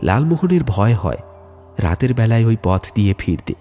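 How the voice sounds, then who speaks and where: A man's voice: on the low side, big pitch swings, moderate pace, warm tone. A man narrating a scripted ghost story in the first person, telling it in a dramatic, suspenseful way. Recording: music bed under the voice.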